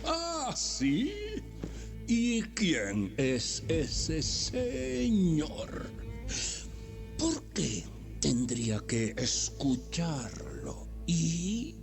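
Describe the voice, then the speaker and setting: A man's voice: fairly high pitch, wildly swooping pitch, vocal fry, over music, heavily acted. An actor playing an arrogant, mocking, tyrannical Egyptian pharaoh in a theatrical audio Bible drama, drawing out his words with sudden outbursts. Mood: mocking disdain. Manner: theatrical, sneering, drawn-out delivery with sudden outbursts.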